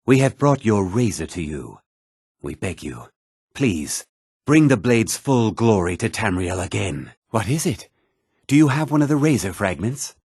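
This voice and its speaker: Zealous cult-descended curator, fantasy video game, rough husky voice, very animated delivery, dry close sound. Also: male voice, fairly low pitch, dry recording, full character voice. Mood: reverent pleading. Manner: theatrical, earnest, swinging delivery. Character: obsessed, zealous museum keeper descended from a cult. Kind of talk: scripted video game dialogue.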